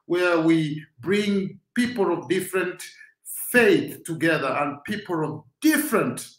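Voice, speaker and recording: A man's voice: high voice, fairly bright tone, echoey room, low-quality recording. A Kenyan man with a Swahili-speaking background, giving a rousing, preacher-like motivational talk as host.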